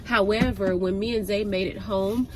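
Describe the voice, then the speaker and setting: A woman's voice: mid-range voice, steady tone, normal speed, over music. A woman with a nasal, stuffed-up voice from a cold, chatting informally and slangily to viewers as a vlog host. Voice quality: nasal, congested. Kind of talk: casual chat to viewers. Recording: noisy car ambience.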